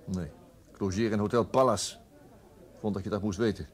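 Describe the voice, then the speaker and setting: A man's voice: fairly low pitch, fairly even intonation, normal speed, close to an everyday voice. A man playing an understated, weary police inspector in a Dutch radio play, speaking conversationally. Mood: matter-of-fact. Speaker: actor in a radio drama.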